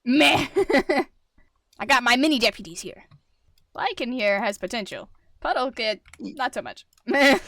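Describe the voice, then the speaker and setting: A woman's voice: fairly high pitch, lively intonation, a little slow, sharp tone, no room sound, studio quality. A young woman hosting a roleplay game video, chatting casually, rambling with fillers and giggling. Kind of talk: casual chat while gaming.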